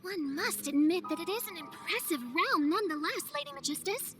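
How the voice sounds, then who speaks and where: A woman's voice: very high voice, expressive, brisk, over music. A woman playing a theatrical, self-important teenage girl in a video game, speaking in a pompous, archaic and grandiose way. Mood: grandly impressed. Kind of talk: video game cutscene acting.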